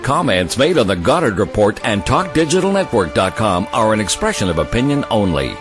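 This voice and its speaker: A man's voice: fairly low pitch, very animated, fairly quick. A man reading a scripted radio disclaimer as an announcer. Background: music.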